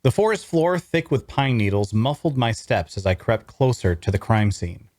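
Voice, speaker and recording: A man playing a wry young traveler, telling his fantasy adventure in measured, dramatic first-person narration at a table read. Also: mid-range voice, sing-song, darkish tone, dry recording, studio quality. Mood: tense, watchful. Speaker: voice actor.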